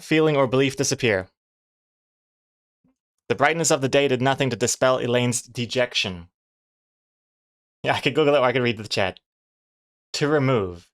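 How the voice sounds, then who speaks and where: Casual, rambling livestreamer with a mixed accent. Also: medium pitch. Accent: mixed, not quite New Zealand. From New Zealand. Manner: rambling, thinking aloud. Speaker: comic artist livestreamer. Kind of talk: casual livestream chat with viewers.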